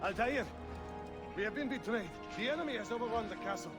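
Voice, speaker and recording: An actor playing an alarmed assassin soldier in a video game, speaking with dramatic urgency. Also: male voice, high-pitched, lively intonation, fairly quick, over loud music. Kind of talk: video game cutscene acting.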